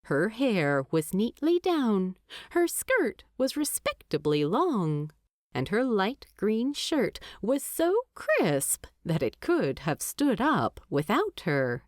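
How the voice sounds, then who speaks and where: A woman reading scripted story narration as an audiobook narrator, expressive and deliberate. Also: medium pitch, dry recording, studio quality.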